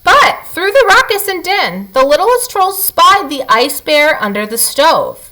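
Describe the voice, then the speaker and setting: A woman speaking as a teacher to young children. Her delivery is expressive, with lively swings in pitch and a bright tone. She addresses her listeners directly, as in a read-aloud or lesson. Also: measured pace.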